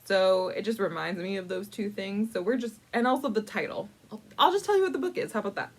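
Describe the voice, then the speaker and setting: A woman hosting a casual storytime, chatty and informal, with playful, dramatic emphasis and drawn-out words as she tells a picture-book story. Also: mid-range voice, lively intonation. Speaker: librarian storytime host.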